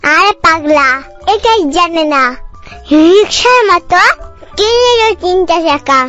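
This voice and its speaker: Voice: very high-pitched, expressive, slow pace, sharp tone, full character voice. A cartoon voice of a bossy little girl, self-assuredly telling absurd tall tales in a childish, scolding manner. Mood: bossy, indignant. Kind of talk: comic cartoon dialogue. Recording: dull lo-fi audio, music behind.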